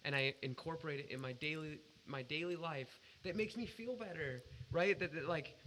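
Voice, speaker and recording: Bright-voiced, earnest man chatting on a podcast. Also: on the high side, normal speed, slight background noise, low-quality recording. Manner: earnest, emphatic, heavy swearing. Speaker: podcast co-host. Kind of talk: casual podcast chat.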